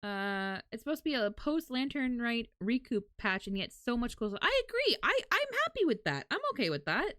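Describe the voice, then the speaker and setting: A woman livestreamer with a lively, swinging voice talks casually to her viewers. The sound is dry and close, and her way of talking is loose and informal. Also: on the high side, fairly quick, few pauses, no room sound. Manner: informal, mild swearing. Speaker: livestreamer reacting to a game announcement. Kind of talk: casual live reaction chat with viewers.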